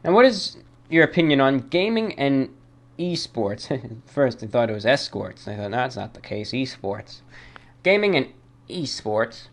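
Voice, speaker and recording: Man hosting a halting, deliberate mail show. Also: medium pitch, sing-song. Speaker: host of a listener-mail show. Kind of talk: reading listener mail and commenting casually.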